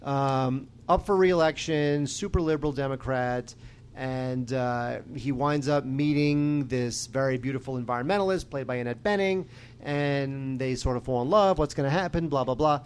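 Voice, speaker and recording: A man's voice: medium pitch, moderate pace, clear, resonant tone. A man co-hosting a podcast, giving informal, opinionated film reviews in casual conversation. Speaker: podcast co-host reviewing films.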